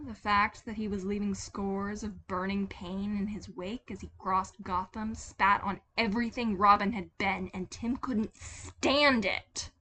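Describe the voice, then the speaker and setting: A woman's voice: medium pitch, a bit bright, slight background noise, low-quality recording. A woman reading scripted fiction aloud as a dramatic narrator. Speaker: podfic narrator.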